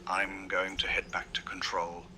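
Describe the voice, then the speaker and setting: A man playing an idealistic, troubled park owner in a found-footage drama, speaking gravely and reflectively with deliberate phrasing. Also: sits low, completely flat delivery, pushed and bright, a little hiss or hum, full character voice. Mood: firm, reserved.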